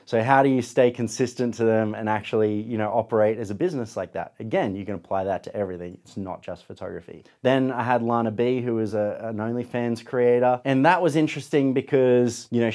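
Guest, male, low warm voice, thoughtful, drops softly at the end of thoughts. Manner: reflective, earnest, trails off softly at ends of thoughts. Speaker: podcast host and photographer, interview guest. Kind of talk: casual interview conversation.

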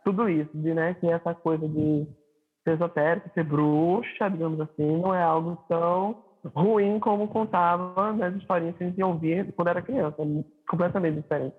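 A high-voiced man with a Pernambuco accent talks over a remote call, thoughtful and hesitant with many fillers. His tone is husky, and the sound is thin and tinny like a phone line. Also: fairly even intonation, normal speed. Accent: Pernambuco Portuguese. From Recife, Brazil. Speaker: singer-songwriter guest. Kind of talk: casual interview chat.

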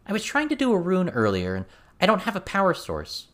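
A man's voice: high voice, wildly swooping pitch, fairly quick, a bit bright, voice pushed up, exaggerated voice. A man voicing a cautious, polite teenage girl in a fantasy audiobook. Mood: hesitant.

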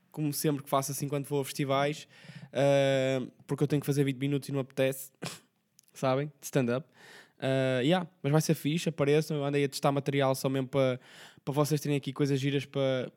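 Hoarse fast-talking young Portuguese man. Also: medium pitch, little pitch movement, a little mellow. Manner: slangy, rambling, quick talk with many fillers. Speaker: podcast host. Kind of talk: casual solo podcast chat.

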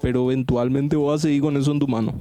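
A man's voice: medium pitch, little pitch movement, rapid-fire, mellow. A man hosting a casual podcast chat with friends, telling a long story, repeating himself and using slang. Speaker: podcast host.

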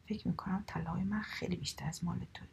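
Grumbling, rude young woman in a whispered bedtime story, read by a woman; gravelly whisper, faint hiss behind. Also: fairly low pitch, fairly even intonation, darkish tone. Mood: sulky. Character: rude sulky young woman, always complaining.

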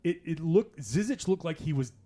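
A man's voice: on the high side, animated, normal speed, warm tone. A man hosting a casual podcast in person, chatty and laughing often, with frequent restarts. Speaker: podcast host. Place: in-person recording in Las Vegas.

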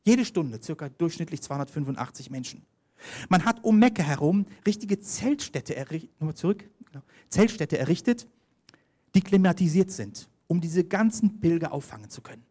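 A man's voice: on the high side, lively intonation, normal speed, warm tone. A man preaching a sermon, telling mission stories, reading scripture aloud and calling for the next slide. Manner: storytelling, reads scripture aloud, directs slides.

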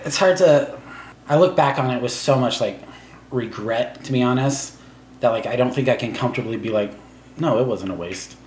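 A man's voice: mid-range voice, moderate pace, darkish tone, roomy sound, a little hiss or hum. A man in his thirties who grew up in Taiwan with Chinese as his first language, a podcast guest rambling casually with lots of filler words. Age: 30s. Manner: rambling, filler-heavy, laughs between thoughts. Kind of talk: casual chat.

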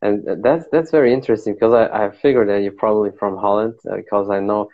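Man, very deep voice, friendly, chatty podcast host, dull lo-fi call audio. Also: steady tone, fast. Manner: friendly, chatty, many fillers. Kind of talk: casual interview questions.

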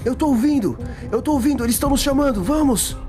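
A man's voice: very high voice, fast, over music, pitched above the performer's own voice. A man voicing an entranced robot scientist, calling out urgently in repeated cries. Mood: frantic, exalted.

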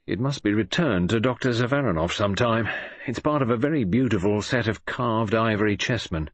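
A man's voice: lowish voice, a little fast, no room sound. A man doing a hearty police inspector. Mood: bluff. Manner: hearty, bluff, chaffing. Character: blunt jovial male police inspector.

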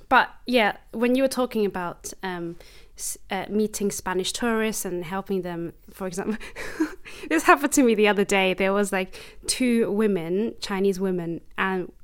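A woman's voice: mid-range voice, clean tone. Easygoing young woman podcast host. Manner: relaxed, fillers and soft laughs. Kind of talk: casual interview chat.

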